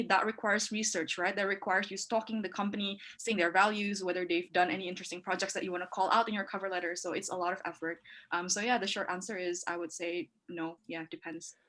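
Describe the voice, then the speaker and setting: A woman's voice: medium pitch, bright, forceful tone. A young woman from Indonesia giving casual, chatty advice on a panel, talking freely with lots of filler words. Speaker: panel speaker.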